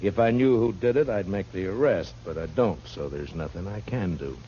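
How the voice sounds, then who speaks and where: An American actor playing a firm, laconic frontier marshal in a 1950s radio western. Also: male voice, deep voice, normal speed, mellow, lightly acted. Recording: muffled, noisy old radio recording with hum.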